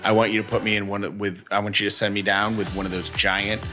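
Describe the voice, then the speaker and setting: A man's voice: on the low side, level tone, fast, music in the background. A man chatting casually as a podcast co-host, with a wry, joking way of talking. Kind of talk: casual chat.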